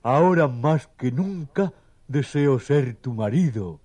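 A man's voice: medium pitch, lively intonation, takes it slowly, dark tone. A man voicing an earnest, lovesick male donkey suitor in a children's audio story, declaiming his wooing in verse. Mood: lovesick, pleading.

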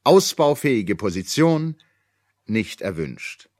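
Low-voiced wry radio-play narrator. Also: male voice, big pitch swings, slow pace, a little mellow, dry recording, clean studio sound, heavily acted. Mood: dry. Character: wry, knowing narrator of a small-town comedy.

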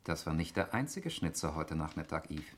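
An actor playing a cool, sardonic, probing man in a 1960s German radio play, deliberate and insinuating in manner. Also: rumbling low, big pitch swings. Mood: accusing. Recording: faintly noisy 1960s radio recording.

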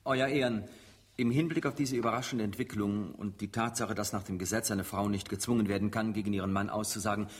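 A man's voice: on the low side, fairly even intonation, fairly quick, husky. An actor in a radio drama playing a sceptical, insistent male defence lawyer with a formal, pointed way of speaking. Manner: pointed, incredulous courtroom questioning. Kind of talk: German radio drama acting.

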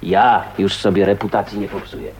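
A man's voice: sits low, animated, brisk, creaky. Blunt, wry maverick man, faint noise. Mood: relaxed, wry. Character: gruff, casual, chivalrous maverick man, unbothered by reputation. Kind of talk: radio drama acting.

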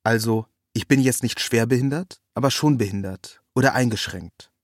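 A man's voice: mid-range voice, moderate pace, no room sound, studio quality, lightly acted. Man reading as self-assured thirty-plus dating-course devotee. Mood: matter-of-fact. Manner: lecturing, matter-of-fact, peppered with English jargon. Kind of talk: quoted dialogue in a read story.

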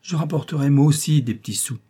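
A man voicing a small, eager young boy, a naive and excitable violin pupil in an audiobook story. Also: high-pitched, slow, slightly rough, pitched above the performer's own voice, heavily acted. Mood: delighted.

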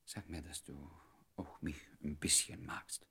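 Radio play lover, man: extremely low, very raspy, ardent and devoted, slipping into breathy murmurs. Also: steady tone, unhurried, sharp tone, whispered, heavily acted. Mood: earnest, tender. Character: ardent devoted man wooing an old love. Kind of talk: intimate German radio drama acting.

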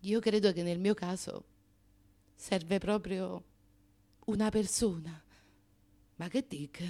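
A woman's voice: mid-range voice, takes it slowly, dark tone. An actress playing a lovelorn, neurotic Neapolitan woman in a theatrical radio drama monologue, confessional and histrionic, swinging between murmurs and outcries. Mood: confiding, rueful.

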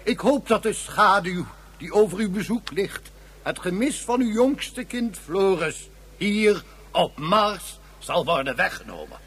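Gushing pompous space president, high voice, slow and drawn out, radio play. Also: male voice, animated, a bit bright, slightly rough, heavily acted. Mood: welcoming. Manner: flowery, theatrical speechmaking. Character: pompous, effusive male president of Mars.

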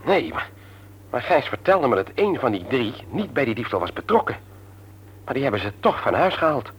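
An actor playing a stern, patronising man in authority in a radio drama, lecturing emphatically in a husky voice. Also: medium pitch, sing-song, brisk, a little hiss or hum, close to an everyday voice. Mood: worried. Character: stern, patronising older brother and works supervisor, adult man.

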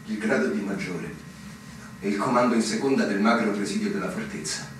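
An actor playing an ailing middle-aged army major in a literary radio drama, brooding and pleading in a theatrical manner. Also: male voice, lowish voice, brisk, a little hiss or hum, close to an everyday voice. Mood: weary, resigned. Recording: distant, very echoey, music underneath.

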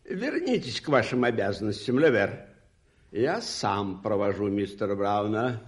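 An actor in a radio play voicing a lordly English club gentleman, deliberate and emphatic in his speech. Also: fairly low pitch, expressive, breathy edge. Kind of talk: 1980s radio play acting.

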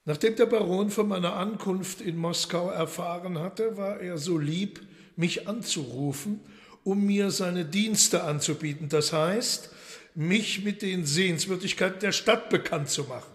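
An actor in a German radio play voicing a suave, courteous but menacing devil lord with a ceremonious, deliberate manner. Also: male voice, sits high. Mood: smoothly courteous. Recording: long hall reverb under loud music.

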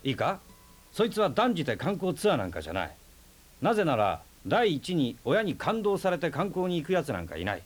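An actor playing a brash, teasing man who talks in a rough, casual, wry way in a radio drama. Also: a little high, huge pitch swings, a little fast, faint music behind. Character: brash, teasing young man, stubborn and playful. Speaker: houseguest.

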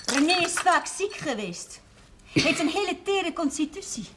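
High-voiced, domineering village wife. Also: female voice, big pitch swings, unhurried, noisy background, exaggerated voice. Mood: reproachful. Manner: stern, haughty, lecturing. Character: domineering, indignant small-town schoolmaster's wife and mother. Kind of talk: television drama acting.

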